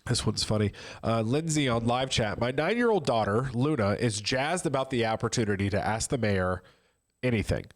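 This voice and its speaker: A man's voice: medium pitch, animated, normal speed. Man with a cold reading viewer questions. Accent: Midwestern American. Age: 30s. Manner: reads questions aloud, casual asides. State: has a cold. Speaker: co-host reading live-chat questions. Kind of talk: casual talk show chat.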